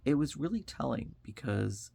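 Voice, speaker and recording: The American man you would find on a commentary vlog, earnestly talking through his opinions in a raspy voice full of fry. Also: mid-range voice, very animated, normal speed, darkish tone, dry recording. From upstate New York, United States. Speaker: online commentator. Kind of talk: informal opinion monologue.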